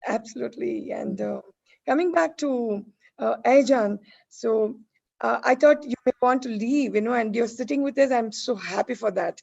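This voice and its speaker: A woman's voice: on the high side, normal speed, vocal fry, darkish tone. A woman hosting an online panel discussion in an effusive, polite manner. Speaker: panel host. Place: online video call. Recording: dull lo-fi video call audio.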